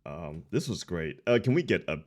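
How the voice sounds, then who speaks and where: A man's voice: sits low, expressive, normal speed, darkish tone. A man hosting a game stream and chatting casually, informal and effusive with his thanks and enthusiasm. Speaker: stream host and player.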